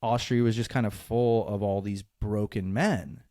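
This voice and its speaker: A man's voice: on the low side, steady tone, a little slow, mellow, dry recording, studio quality. A young man co-hosting a podcast, chatting loosely with lots of fillers and laughs. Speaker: podcast co-host. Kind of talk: casual chat.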